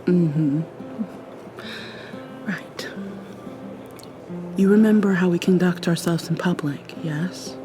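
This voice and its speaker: Woman as teasing, affectionate dominant girlfriend, ASMR roleplay: lowish, very relaxed pace, intimate half-whispered delivery. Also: takes it slowly, long pauses, over music. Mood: tender, doting. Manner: soft, intimate, coaxing, often dropping to a whisper.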